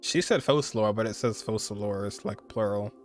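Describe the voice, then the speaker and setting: A man's voice: fairly low pitch, fairly quick, music in the background. A man in his twenties streaming a game, giving informal, slangy live reactions and commentary. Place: indoor streaming setup, game audio behind.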